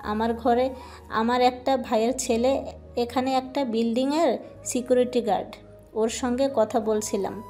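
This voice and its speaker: Lady playing talkative housemaid, highish voice, homely bangladeshi accent, deferential, audio drama w soft music under. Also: steady tone, a little slow. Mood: chatty, pleased. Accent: colloquial Bangladeshi. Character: chatty, devoted housemaid. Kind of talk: Bengali romantic audio drama acting.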